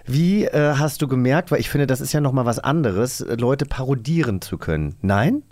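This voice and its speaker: A man with a German accent hosts a casual interview in a medium-pitched voice. The sound is dry and close, with an easy conversational flow of remarks and questions. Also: lively intonation, moderate pace, darkish tone, dry recording. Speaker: podcast host, interviewer. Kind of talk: casual chat.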